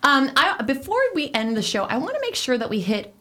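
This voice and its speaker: A woman's voice: high-pitched, big pitch swings, fairly quick, bright, forceful tone. Enthusiastic woman hosting an interview. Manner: enthusiastic, affirming, lots of "I love it". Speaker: podcast host. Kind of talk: casual interview chat.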